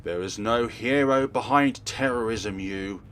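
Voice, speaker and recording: A cold, fatalistic boy killer read by a man speaks in a low, grave, deliberate voice. He is a grieving visual novel villain, with soft music behind. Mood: bitter, resigned.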